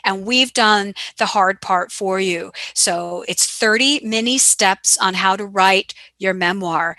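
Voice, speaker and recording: A woman host talks in an upbeat, brisk way, her voice bright and forceful at a middle pitch. The sound is close and dry. She drifts a little as she chats her way through a promotion. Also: no echo, poor audio. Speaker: podcast host. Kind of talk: casual promotional chat.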